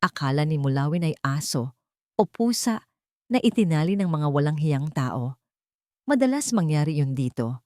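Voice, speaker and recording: A woman telling a dramatic story with a low, deep voice. Her tone is dark and mellow, and the steady narration comes through a dry, close studio mic. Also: normal speed, no echo. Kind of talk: scripted audio drama narration.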